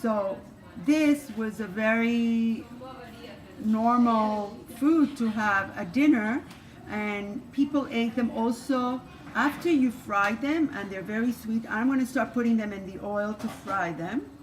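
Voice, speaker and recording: A woman's voice: fairly high pitch, slow, poor audio. An older grandmother from Cuba with a Cuban Spanish accent, whose first language is Spanish. She gives a homey cooking lesson in her kitchen and tells her life story, with many restarts. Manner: homey and instructive, with frequent restarts. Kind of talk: cooking demonstration and personal storytelling. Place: home kitchen. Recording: steady background noise.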